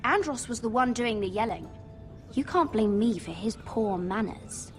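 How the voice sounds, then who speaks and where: A woman's voice: on the high side, animated, moderate pace, faint music behind, close to an everyday voice. A woman playing a defensive but loyal young noblewoman in a fantasy video game, earnest and guarded in delivery.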